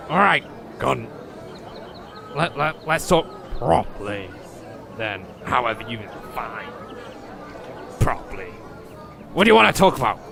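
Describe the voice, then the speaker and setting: A man's voice: medium pitch, very animated, slow pace, vocal fry, pauses often, background noise, heavily acted. A man playing a crude, ranting, wildly swinging adventurer. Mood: grudging. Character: foul-mouthed, bullying, bossy male adventurer with a short temper. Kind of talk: comedic fantasy audio drama acting.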